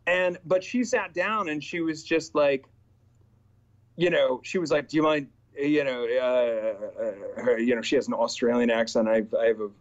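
A man in his forties recounts his time on set over a video call, slipping into the voices of the people he quotes. His voice sits at an ordinary middle pitch. The audio is a little dull and lo-fi. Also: normal speed. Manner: animated storytelling, acts out quoted exchanges. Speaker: actor guest. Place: small indoor room with purple backlight. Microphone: webcam video call.

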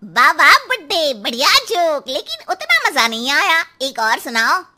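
A cheeky talking parrot from a cartoon, squeaky and mischievous. The pitch swings wildly and the delivery is slow and drawn out as it taunts and teases. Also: wildly swooping pitch, bright, forceful tone, heavily acted. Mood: mocking. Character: cheeky, mocking, troublemaking talking pet parrot. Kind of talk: animated cartoon dialogue.

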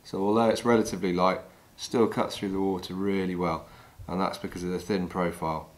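A middle-aged man from England calmly talking through each step of tying a fishing fly while he works with his hands. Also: low voice, brisk. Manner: calm, practical, talks while working with his hands. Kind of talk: step-by-step demonstration. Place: wooden lodge room, indoors.

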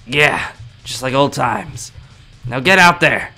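A man plays a serious young comrade in an anime game roleplay. The voice is bright and tense, urgently giving instructions. Faint music runs underneath. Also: medium pitch, lively intonation, takes it slowly, heavily acted. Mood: serious, instructing. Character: urgent young male tower-bound ally warning of invaders. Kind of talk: improvised video game roleplay.

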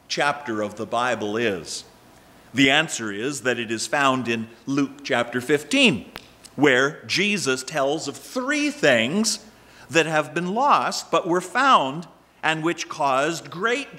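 Older male pastor, slow deliberate delivery, bright tone, pulpit mic in a church sanctuary. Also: a little high. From Canada. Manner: measured, expressive preaching with emphatic rises. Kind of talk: sermon.

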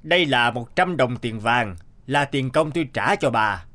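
An actor voicing a stern, secretive husband in a cartoon fairy tale, commanding and insistent. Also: male voice, mid-range voice, animated, unhurried, pushed and bright. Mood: firm. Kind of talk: animated fairy-tale dubbing. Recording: background music under the voice.

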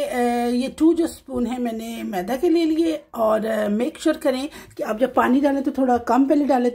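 A woman's voice: sits high, darkish tone. A woman from Pakistan in her thirties hosting a home cooking video, talking casually and mixing English words into her speech with frequent check-ins to viewers. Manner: chatty, mixes English into Urdu, frequent "theek hai ji" check-ins. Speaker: cooking channel host. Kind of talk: cooking tutorial voice-over. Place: home kitchen.

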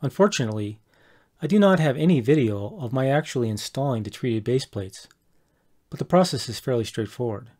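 Middle-aged man, mid pitch, measured scripted narration for a home-improvement tutorial. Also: animated, warm tone. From Colorado, USA. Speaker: homeowner explaining a DIY project.

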